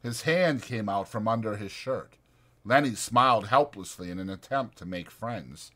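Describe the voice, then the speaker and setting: A man's voice: lowish voice, normal speed, bright, forceful tone. A man reading a novel aloud as scripted, dramatized audiobook narration.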